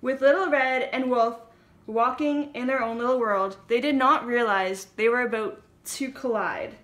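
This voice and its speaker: High, bright woman narrating a story. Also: steady tone, normal speed, echoey room.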